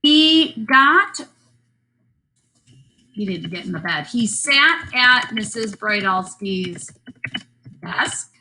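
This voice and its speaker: A woman's voice: mid-range voice, lively intonation, very slow, long pauses, sharp tone, a little hiss or hum, rough recording. A woman teacher giving a lesson to children, thinking aloud as she writes a story, with playful asides. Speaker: elementary school teacher.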